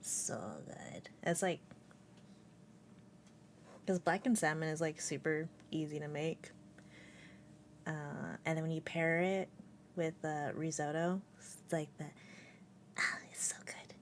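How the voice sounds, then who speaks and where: A young woman streamer chats from home with a cat on her lap, rambling through her thoughts with lots of fillers and frequent giggles. Her voice sits a bit low for a woman. A faint background hiss runs under her voice. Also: fairly even intonation, takes it slowly, long pauses. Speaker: VTuber streamer. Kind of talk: casual live-stream chat.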